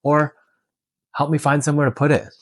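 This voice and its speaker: A man's voice: on the high side, level tone, fairly quick, no echo, studio quality. A man from Canada and Hong Kong talking candidly and informally in a question-and-answer vlog. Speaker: tattoo artist and vlogger.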